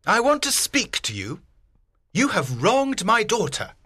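A man's voice: on the high side, very animated, unhurried, pushed and bright, no room sound, studio quality, full character voice. A man playing an angry, distraught old father in a dramatised audiobook, emphatic and pleading. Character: angry, distraught old father defending his daughter's honour.

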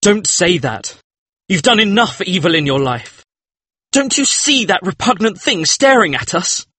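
An anguished male painter from a dramatised audiobook, acted by a male reader. His voice sits high and husky, recorded close and dry. He speaks with horrified, pleading urgency and heavy emphasis. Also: sing-song, unhurried, sharp tone, no room sound, exaggerated voice.

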